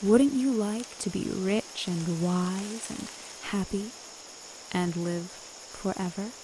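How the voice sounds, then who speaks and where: A woman's voice: medium pitch, takes it slowly, natural voice. A woman playing a seductive, commanding immortal witch in a retro radio drama, coaxing and self-assured. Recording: faint background noise and hiss.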